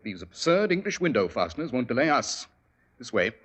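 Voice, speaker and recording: An actor playing a shrewd, commanding male detective in 1940s radio drama style, with a crisp, authoritative delivery. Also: medium pitch, very animated, brisk, fairly bright tone. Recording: old radio broadcast with faint hiss.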